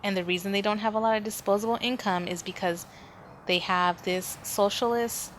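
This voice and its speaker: A woman's voice: mid-range voice, fairly even intonation, moderate pace. Woman rambling casually over background noise. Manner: rambling, thinking aloud, informal. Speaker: podcast host. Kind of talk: casual solo podcast monologue. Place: indoors at home, near street noise. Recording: background noise, ambient room sound.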